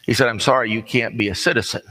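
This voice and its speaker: An American man delivers a sermon with a bright, forceful voice at a middling pitch. He talks in a folksy, plain-spoken way, telling stories and leaving deliberate pauses. His words ring with the long reverb of a large hall. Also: fairly even intonation. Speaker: preacher. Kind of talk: sermon with conversational storytelling. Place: large reverberant room, preaching to a congregation.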